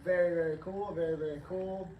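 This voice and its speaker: Hoarse man hosting a roomy livestream. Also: high voice, steady tone, fairly quick, muffled recording. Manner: upbeat, rambling, reads chat aloud. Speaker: livestream host, training company staff. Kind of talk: casual livestream chat. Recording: roomy, distant from the mic.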